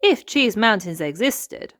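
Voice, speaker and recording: Grown-up lady rabbit guide for a picture book, read by a woman, slow, clear announcements, matter-of-fact. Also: mid-range voice, very animated, a bit bright, no echo, studio quality, voice pushed up. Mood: informative.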